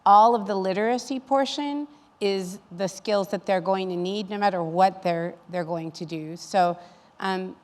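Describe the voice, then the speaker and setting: A woman's voice: mid-range voice, a little slow. A woman speaking as an educator in a panel discussion, explaining things in an earnest, advocating way. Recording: reverberant hall sound.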